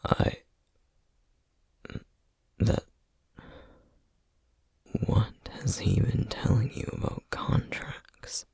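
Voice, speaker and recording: A man playing a solemn, weary, duty-bound flame demon in an ASMR roleplay, whispering breathily and haltingly. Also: a little mellow, no echo. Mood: taken aback. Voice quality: breathy, husky whisper with gravelly edges. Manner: slow, halting, measured delivery with heavy pauses. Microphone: close microphone, dry sound.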